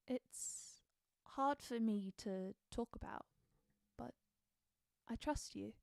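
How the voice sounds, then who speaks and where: Guarded warrior woman, slow-spoken. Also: on the high side, vocal fry, a little mellow. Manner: dramatic, confiding. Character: battle-hardened young Nord warrior woman, wounded, guarded, bloodthirsty. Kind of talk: scripted game character dialogue.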